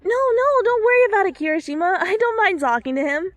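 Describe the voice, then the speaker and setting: A woman voicing a sweet, bubbly young woman from anime in a dramatic fan fiction reading, gentle and cooing. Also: very high-pitched, animated, fast, no echo, pitched above the performer's own voice. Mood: reassuring.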